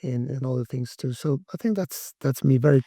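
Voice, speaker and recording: A middle-aged man from Brazil, speaking as an interview guest, talking in a halting way with many restarts and fillers. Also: medium pitch, mellow, dry recording, clean studio sound. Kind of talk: interview conversation.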